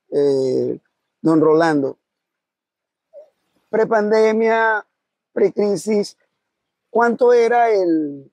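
A male interviewer with a high, mellow voice asks probing questions and drops in short acknowledgments. His voice is a little husky and sounds dry and close. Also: dry recording, muffled recording. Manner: probing, with frequent short backchannels. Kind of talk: conversational interview.

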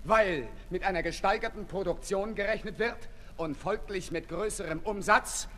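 An actor playing an ailing, embittered revolutionary who exhorts the people with urgent declamation in an old radio play. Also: male voice, high-pitched, normal speed, fairly bright tone. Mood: grim warning. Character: fiery ailing revolutionary orator, fervent and embittered. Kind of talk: 1960s German radio play acting. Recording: 1960s radio recording, reverberant hall, hissy.

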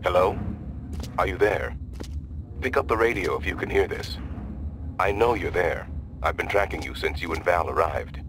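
A secretive insider guide in a video game. His deep voice gives terse, knowing instructions with calm urgency. Background noise is clearly audible. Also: moderate pace, pauses often. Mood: knowing, urgent. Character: terse, knowing male insider guiding by radio.

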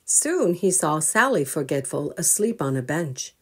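Very animated woman storyteller, unhurried. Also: deep voice, husky. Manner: expressive, asking listeners questions. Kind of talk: children's storybook read-aloud.

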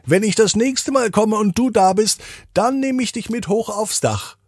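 Friendly husky chimney sweep, voiced by a male storyteller. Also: sits high, normal speed, no room sound, clean studio sound, pitched above the performer's own voice. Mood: friendly, promising.

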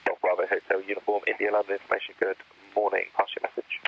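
A man's voice: deep voice, little pitch movement, fast, creaky, slightly rough. A man working as a flight information officer, speaking clipped radio phraseology over an aircraft radio. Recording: muffled aircraft radio transmission.

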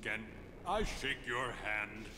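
An actor playing an earnest, awestruck male guardian in a video game, halting and reverent. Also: fairly low pitch, lively intonation, big-room sound, background noise. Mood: awed.

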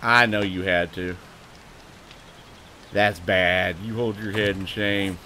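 A man's voice: on the low side, steady tone, takes it slowly, pushed and bright, a little hiss or hum. An American man hosting a gaming livestream, chatty, laughing often and swearing when something surprises him. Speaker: livestream host. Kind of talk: casual gaming livestream chat.

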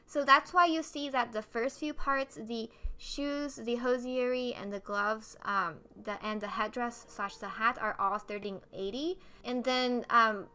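Female game guide host: high, bright voice, casual explainer delivery.

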